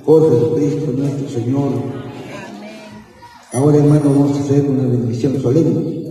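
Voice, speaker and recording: Older priest reciting a solemn mass. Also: male voice, medium pitch, mellow. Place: large tented outdoor patio. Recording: roomy, distant camera audio.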